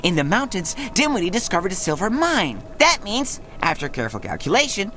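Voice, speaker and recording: A small, clever cartoon boy creature for a game, earnest and a bit self-important. The delivery is lively and bookish, with precise, curious phrasing and plenty of rise and fall. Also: mid-range voice, a little fast, faint music behind. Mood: pondering. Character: earnest, brainy, self-important young male Smurf, small blue creature. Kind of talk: cartoon video game acting.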